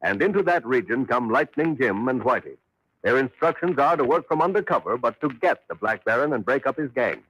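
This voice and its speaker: A man's voice: medium pitch, lively intonation, a little fast, fairly bright tone, slightly rough, muffled recording. A man with an American accent delivering dramatic scripted narration as an old-time radio announcer.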